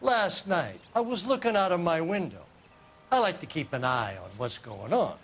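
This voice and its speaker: A nosy old man in a game cutscene, grumbling and salty. His voice sits high and swings around a lot, over faint background ambience. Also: sing-song, moderate pace, gravelly, fairly bright tone, slight background noise, exaggerated voice. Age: older. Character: cranky, nosy old male neighbor. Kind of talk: video game cutscene acting.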